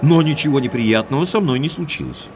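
An actor playing a young, carefree aristocratic heir in an audio drama, with an open, lively way of speaking. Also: male voice, fairly high pitch, brisk, a little hiss or hum, natural voice. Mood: breezy, frank.

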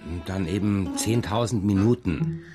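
A man's voice: low voice, unhurried, over music. A man playing an affectionate, evasive father in a children's radio play. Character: affectionate, evasive father just back home.

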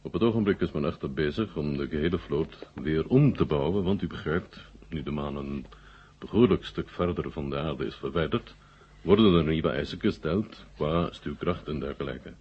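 A dull vintage radio recording carries a very deep male voice. a proud, commanding Soviet marshal with a husky edge. He speaks formally and with measured authority, all business. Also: exaggerated voice. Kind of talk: 1970 Dutch radio drama acting.

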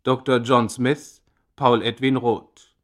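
A man's voice: medium pitch, level tone, slow pace, a bit bright. A man reading scripted radio drama credits as an announcer.

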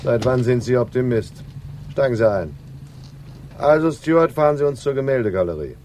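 An actor playing a self-assured, pious police inspector in a radio crime drama, measured and condescending in his authority. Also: medium pitch, animated, darkish tone, slight background noise, close to an everyday voice. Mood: brisk, commanding. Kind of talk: 1960s German radio crime drama acting.

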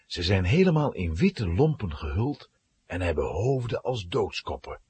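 A man giving dramatic, expressive scripted narration of a children's story. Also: on the low side, husky.

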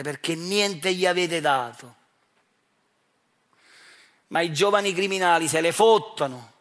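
High-pitched Neapolitan man, provocative stage monologue. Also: fairly bright tone. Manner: provocative, accusatory, rhetorical questioning. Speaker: stage actor performing his own monologue. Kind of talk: theatrical monologue addressed directly to the audience.

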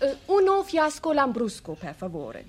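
A coquettish young woman of about twenty in a 1970s radio mystery. Her voice is fairly high and swings animatedly as she chats and shows off. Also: wildly swooping pitch, normal speed. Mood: showing off. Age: 20s. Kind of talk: 1970s German radio drama acting.

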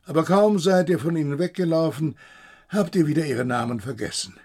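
An actor playing an agitated, inquisitive interrogator with emphatic, insistent questioning in a radio play. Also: male voice, a little high, brisk, a little mellow, slightly rough. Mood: agitated, accusing. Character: agitated, accusing, inquisitive male interrogator, haughty and probing. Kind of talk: German radio play acting.

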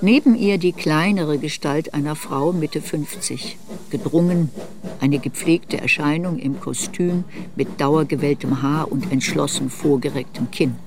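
An actor narrating a literary radio drama as a reflective narrator looking back on youth. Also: male voice, a little high, a little slow, background noise. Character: narrator recalling a girl's youth in reflective memoir. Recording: studio radio drama with music.

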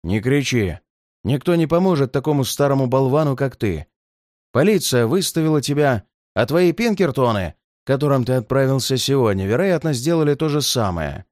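A man voicing a cruel, gloating red-haired giant who threatens an old man in a slow, heavy delivery. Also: mid-range voice, animated, a little mellow, dry recording, clean studio sound, natural voice. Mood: menacing.

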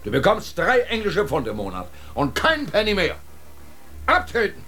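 An actor playing a stern, sarcastic polar expedition commander in a radio drama, with a rough, commanding voice. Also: male voice, sits high, wildly swooping pitch, moderate pace, pushed and bright, soft background music, full character voice. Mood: brisk, commanding.

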